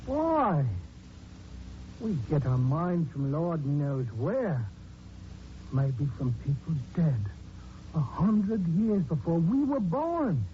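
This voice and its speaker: An actor playing a big, strong police sergeant who is secretly a mad strangler, halting and menacing, in 1940s radio drama style. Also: male voice, on the high side, big pitch swings. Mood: brooding, musing. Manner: halting, brooding, turning menacing. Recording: dull noisy 1940s radio recording.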